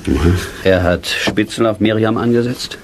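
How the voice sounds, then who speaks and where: Low-voiced, loyal theatre colleague. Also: male voice, unhurried. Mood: attentive. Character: curious, loyal actor, friend of the troupe leader. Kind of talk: German radio play acting. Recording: faint background noise.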